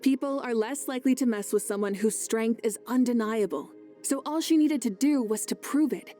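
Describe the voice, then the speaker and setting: A woman playing a calm, compassionate female war leader in a video game, speaking in a composed, measured, earnest way. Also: a little high, faint music behind, close to an everyday voice. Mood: amused, admiring. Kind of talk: scripted game character monologue.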